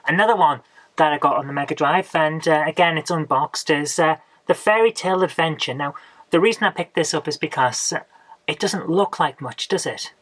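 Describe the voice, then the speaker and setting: A woman, hoarse from a coming cold, hosting a casual retro game haul video. She rambles chattily and often ends with tag questions. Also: deep voice, fairly quick, no echo, rough recording. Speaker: retro gaming channel host.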